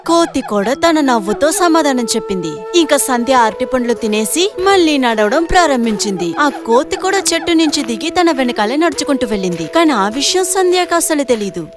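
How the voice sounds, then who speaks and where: A woman with a high-pitched voice narrates a scripted moral tale for an animation. Music runs clearly behind the narration.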